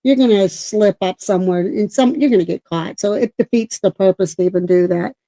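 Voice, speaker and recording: A middle-aged woman co-hosting a paranormal talk show, chatting casually and loudly with a projecting voice, rambling with many restarts and self-corrections. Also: medium pitch, fairly quick, no room sound. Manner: self-described talker, loud and projecting. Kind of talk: casual livestream chat.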